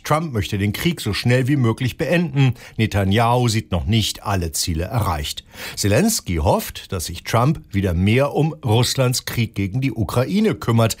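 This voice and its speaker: A man delivering a scripted report as a radio news correspondent. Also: mid-range voice.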